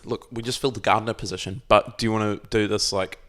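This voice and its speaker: A man's voice: fairly low pitch, steady tone, fast talker, fairly bright tone. A young man from New Zealand with a local accent, telling casual anecdotes as a podcast guest in a laid-back, self-deprecating, sweary way. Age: young adult.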